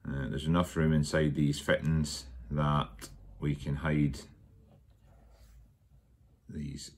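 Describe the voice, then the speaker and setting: A man's voice: rumbling low, level tone, darkish tone. A man giving an informal hands-on tutorial, hesitant and thinking aloud as he works. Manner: unhurried, hesitant, thinking aloud while working. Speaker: hobbyist presenter of a workshop how-to. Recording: noticeable background noise while working.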